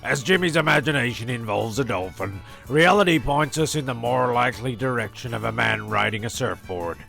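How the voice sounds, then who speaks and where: A man reading scripted comic story narration in a gravelly voice. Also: medium pitch, lively intonation, fairly quick, pushed and bright, soft background music.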